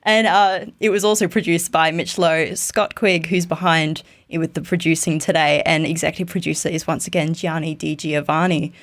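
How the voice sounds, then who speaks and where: A woman's voice: deep voice, steady tone, normal speed, hardly any pauses. A woman, speaking as a podcast host reading out credits and thanks. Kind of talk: podcast sign-off.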